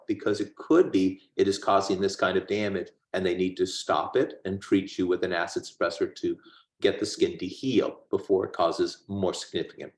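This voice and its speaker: A man's voice: fairly low pitch, echoey room. A man, a gastroenterologist, explaining a medical topic in a plain, conversational lecture.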